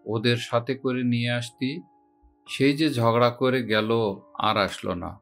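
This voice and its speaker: A man voicing a kindly, frail old village grandfather who talks slowly and fondly, heard from a distance over faint music. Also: mid-range voice, gravelly. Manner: slow, fond, scolding gently.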